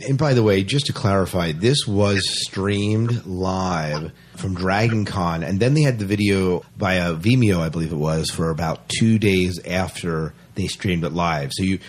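Male podcast host, low husky register, conversational and emphatic, informal review chat.

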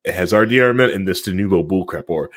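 A man with a fairly low, slightly rough voice talks casually as a gaming podcast co-host. He is emphatic and opinionated, often doubling back on a phrase to drive it home. The sound is close and dry, with no room around him. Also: very fast, dry recording.

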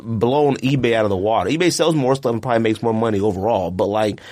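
A man's voice: fairly low pitch, quick pace, warm tone. An American man hosting a casual sports and sneaker podcast, speaking bluntly in slang and repeating himself for emphasis. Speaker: podcast host.

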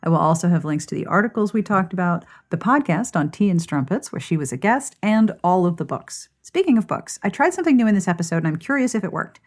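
A woman's voice: lowish voice, animated, quick pace, few pauses. A woman hosting a podcast in an informal, playful, conversational way. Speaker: podcast host. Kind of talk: chatty podcast hosting and sign-off.

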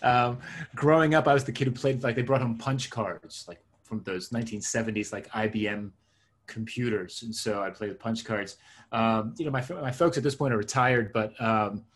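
Middle-aged man with a slightly husky voice. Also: fairly low pitch, normal speed. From born in Israel, raised in suburban New Jersey. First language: Hebrew. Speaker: interview guest, tech consultant and coach. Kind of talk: conversational interview answers.

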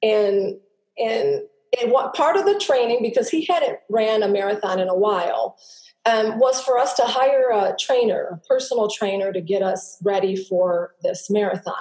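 A middle-aged woman, a native Greek speaker, telling her personal story as a podcast guest in an earnest, halting way with many restarts. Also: medium pitch, a little mellow. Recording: roomy echo.

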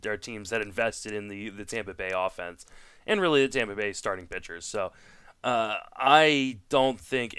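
A man with a clean, smooth voice hosts a casual sports talk podcast, a native French speaker. His sound is dry and close, and he talks conversationally, working through his opinions as he goes. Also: fairly low pitch, a bit bright, no room sound. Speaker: podcast host. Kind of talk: casual sports talk, fantasy baseball discussion.

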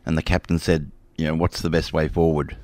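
A man's voice: rumbling low, flat delivery, a little fast, a little mellow, no room sound. An older man from the United States, co-hosting a radio chat with dry jokes and anecdotes. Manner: dry, joking, tells anecdotes. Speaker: radio show co-host.

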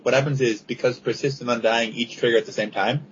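A man's voice: medium pitch, steady tone, fast talker. Man explaining game rules on lo-fi audio. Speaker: guest judge. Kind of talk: casual podcast chat. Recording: dull lo-fi sound with faint noise.

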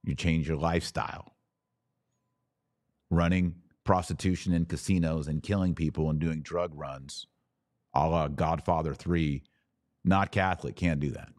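A man's voice: extremely low pitch, steady tone, a little slow, pauses often, a little mellow, dry recording, clean studio sound. An American man speaking as a podcast host in conversational religious commentary, emphatic, often in short repeated phrases.

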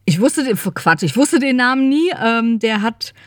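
A woman's voice: a little high, animated, a little slow, dry recording, studio quality. A woman chatting casually as a podcast co-host, rambling and correcting herself as she thinks aloud. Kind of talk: casual chat.

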